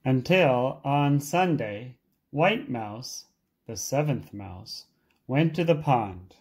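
An English teacher reading a storybook aloud for learners, with deliberate, expressive and emphatic phrasing. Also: mid-range voice, slightly rough.

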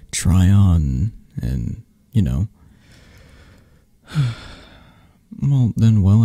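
Slow, husky, mellow man acting a tender mafia boss. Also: low voice, no room sound, clean studio sound, exaggerated voice. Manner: hesitant, murmuring, coaxing, with soft chuckles. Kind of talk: ASMR romantic roleplay, intimate and soft-spoken.

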